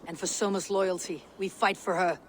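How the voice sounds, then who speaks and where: An actress playing a composed, commanding Viking warrior in a video game, speaking firmly. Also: female voice, on the low side, level tone, moderate pace, slight background noise, lightly acted. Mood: steady. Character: steadfast, composed Viking warrior leader, Eivor. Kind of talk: video game cutscene acting.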